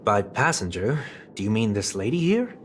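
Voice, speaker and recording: An actor playing a courteous, soft-spoken man in a video game, speaking in formal, measured phrases. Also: fairly low pitch, very animated, background noise. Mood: puzzled, deferential. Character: polite, soft-spoken man bidding his home farewell. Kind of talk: scripted video game dialogue. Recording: loud background music over dialogue.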